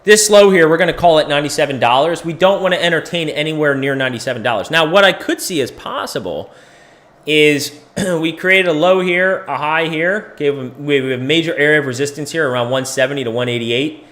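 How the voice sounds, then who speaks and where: A man losing his voice, clearing his throat often, casually thinking aloud while he walks through crypto price charts as the host of a live chart stream. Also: on the high side, a little fast, fairly bright tone. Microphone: desk microphone.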